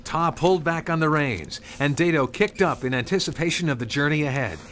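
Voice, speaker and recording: A man's voice: a little high, a little fast, crowd noise. A man reading scripted storybook narration of a Christmas tale. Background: music.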